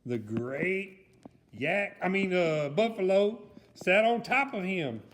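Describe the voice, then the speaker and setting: Guy doing a goofy excitable alligator, high swoopy voice, slow dramatic storybook reading, muddles words, noisy background. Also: exaggerated voice. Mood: storytelling. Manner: theatrical, bumbling, mixes up words. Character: silly excitable male alligator storyteller puppet.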